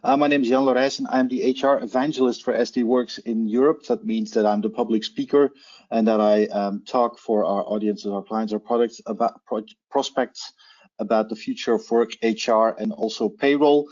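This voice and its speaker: A man from Belgium with a Belgian accent, speaking careful, slightly halting non-native English as a panelist on a video call. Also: medium pitch, steady tone, a little mellow, dull audio. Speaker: panelist, HR evangelist. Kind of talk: webinar self-introduction.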